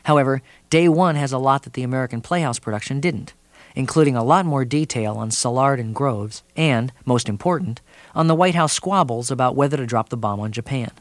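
A man delivering a scripted broadcast review of a TV movie in a measured, dryly wry way. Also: medium pitch, dry recording. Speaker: TV critic.

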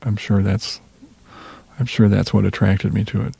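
A man with a Minnesota accent, a jazz musician answering interview questions in a reserved, hesitant way with many pauses. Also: on the low side, little pitch movement, vocal fry, dark tone, breathy edge, no echo. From Minnesota, USA.

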